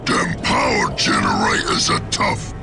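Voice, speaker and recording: An actor voicing a brutish, boastful Ork warboss in a game, gruff and gravelly, bellowing in crude, broken slang. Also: male voice, extremely low pitch, wildly swooping pitch, measured pace, full character voice. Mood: scheming, commanding. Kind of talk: video game cutscene acting. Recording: loud music behind the voice. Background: loud music.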